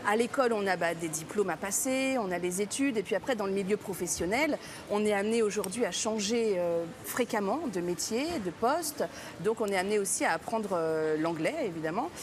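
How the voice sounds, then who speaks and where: A woman's voice: medium pitch, animated, brisk. A talkative, emphatic woman explaining things conversationally as a guest on a radio chat show. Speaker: studio guest presenting language training. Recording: clearly noisy background.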